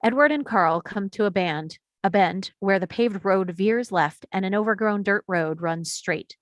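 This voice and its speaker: Female narrator for screenplay stage directions, mid-range pitch, scripted read, dry recording. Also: measured pace, dry recording.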